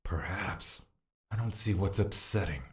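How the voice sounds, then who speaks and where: A man's voice: low voice, unhurried. A man playing an unruffled confidant to a prince in an audio drama, terse and matter-of-fact. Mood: dry agreement.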